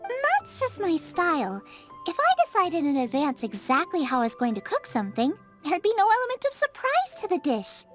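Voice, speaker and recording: A woman's voice: very high voice, huge pitch swings, moderate pace, fairly bright tone, soft background music, exaggerated voice. A carefree young girl chef. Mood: breezy. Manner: breezy, confident, playful. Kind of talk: video game dub acting. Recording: game soundtrack under the voice.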